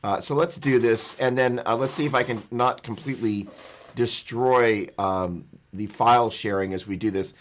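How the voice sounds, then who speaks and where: A man hosting a panel over a video call, talking loosely and pausing to gather his thoughts. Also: medium pitch, a little hiss or hum. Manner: loose, hesitant, stops to think mid-sentence. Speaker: host.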